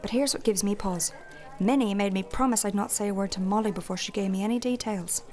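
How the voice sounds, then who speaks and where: A woman plays a shrewd female innkeeper in a fantasy city. She is plain-spoken and folksy, with a mid-pitched voice. The delivery is thoughtful, candid audio drama acting. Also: quick pace, darkish tone, natural voice. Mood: confiding, shrewd.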